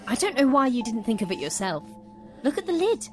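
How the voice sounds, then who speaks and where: A woman's voice: on the high side, very animated, brisk, a little mellow, faint music behind. A woman playing a sly, wheedling little boy who needles his sister in an audio drama. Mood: smug, needling. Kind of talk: audio fiction drama acting.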